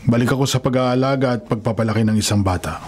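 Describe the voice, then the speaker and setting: A man's voice: medium pitch, fast talker, a little mellow, close to an everyday voice. A man playing a kindly, devout carpenter and grandfather in a radio drama, speaking earnestly with a Filipino accent. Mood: warm, hospitable.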